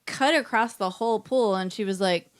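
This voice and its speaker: An American woman co-hosting a podcast, telling casual anecdotes in an animated, conversational manner. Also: mid-range voice, normal speed, clear, resonant tone. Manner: animated storyteller, quotes people in anecdotes. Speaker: podcast co-host. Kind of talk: casual chat.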